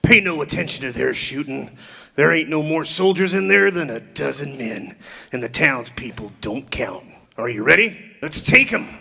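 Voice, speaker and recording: An actor playing a ruthless outlaw leader, gruff and scheming, barking orders in live 1930s-style radio drama acting. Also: male voice, on the high side, animated, normal speed, sharp tone, exaggerated voice. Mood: menacing.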